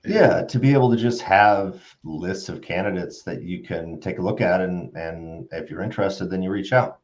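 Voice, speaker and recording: A man's voice: deep voice, moderate pace, a little mellow. A middle-aged man co-hosting a business live stream, explaining conversationally with somewhat hesitant phrasing. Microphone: video call. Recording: slightly dull video-call audio.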